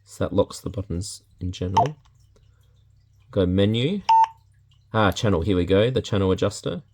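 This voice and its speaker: A man's voice: low voice, lively intonation, normal speed. An Australian man giving a casual, unscripted product demonstration in a laid-back way, thinking aloud as he handles items. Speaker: product reviewer. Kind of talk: casual unboxing and demonstration talk. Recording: clear background noise, handling clicks.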